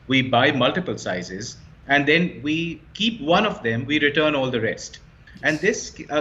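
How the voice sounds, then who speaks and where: A man's voice: fairly high pitch, bright, forceful tone, a little hiss or hum. A man explaining his startup as an interview guest, fluent and confident, stressing key points. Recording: slightly dull lo-fi sound.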